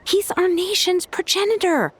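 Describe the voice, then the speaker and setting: A woman's voice: extremely high pitch, normal speed. An actress playing a cheeky, playfully defensive young woman friend in a game. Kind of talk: game dialogue. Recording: faint game music behind.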